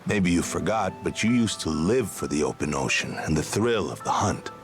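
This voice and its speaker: Deep-voiced, nostalgic seafarer. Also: male voice, expressive, moderate pace, soft background music. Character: deep-voiced, nostalgic, good-natured old seafaring friend. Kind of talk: video game voice acting.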